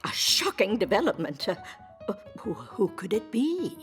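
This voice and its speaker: A woman playing an eager, gossipy ghost newspaper editor in an audio drama, with a formal, deliberate manner. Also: mid-range voice, big pitch swings, faint music behind, lightly acted. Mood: excited, eager. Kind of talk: theatrical scripted audio drama.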